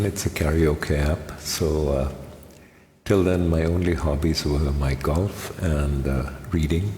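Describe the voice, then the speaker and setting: An older man from India with a very low voice, recalling his time singing karaoke in a reflective, self-deprecating way with many pauses. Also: a little mellow, slight background noise. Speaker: amateur karaoke singer. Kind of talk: personal recollection. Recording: echoey room with long reverb.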